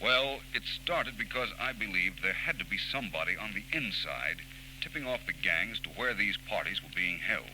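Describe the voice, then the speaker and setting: An actor playing a suave, self-assured private detective in a 1940s radio drama, explaining things precisely and with cool confidence. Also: male voice, deep voice, expressive, normal speed, pushed and bright, full character voice. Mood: smug, explanatory. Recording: noisy old radio recording with hum.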